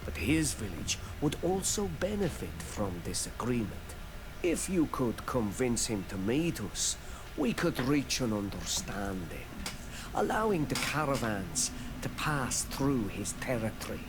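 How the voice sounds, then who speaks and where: A man voicing a proud native island village chief, a measured negotiator in a video game. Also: medium pitch, big pitch swings. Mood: grave, diplomatic. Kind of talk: video game dialogue acting. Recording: noisy game capture.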